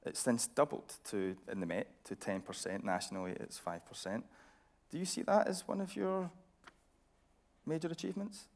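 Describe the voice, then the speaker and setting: A man interviewing on stage as a presenter, putting questions in a measured journalistic style. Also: mid-range voice, vocal fry, darkish tone. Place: radio theatre stage with an audience.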